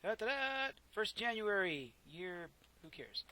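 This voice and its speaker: A soft-spoken man casually narrating his own gameplay, rambling and correcting himself as he goes, close to a desk microphone. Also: high-pitched, sing-song, a little slow. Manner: rambling, self-correcting, chatty. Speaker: gameplay commentator. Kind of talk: casual let's play commentary.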